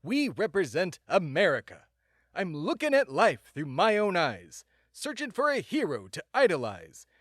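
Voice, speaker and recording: A man's voice: high voice, huge pitch swings, unhurried, fairly bright tone, no echo, studio quality, full character voice. A man playing a pompous, self-important male patriot who hosts a comedy podcast in a mock-serious, grandiose way. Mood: grandly dramatic. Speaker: podcast host. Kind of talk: mock-serious comedic monologue and dramatic reading.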